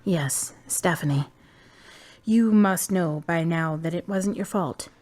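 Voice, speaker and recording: A woman's voice: lowish voice, takes it slowly, darkish tone. A woman playing a caring, blunt friend who asks direct questions and takes a grieving man into her home. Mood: firm. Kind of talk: amateur drama acting.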